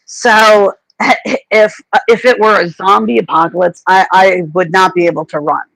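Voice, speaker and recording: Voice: mid-range voice, steady tone, normal speed, creaky, fairly bright tone, no room sound, low-quality recording. Middle-aged stream guest, hesitant, stammering, dry close sound. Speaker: podcast guest. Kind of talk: casual livestream chat.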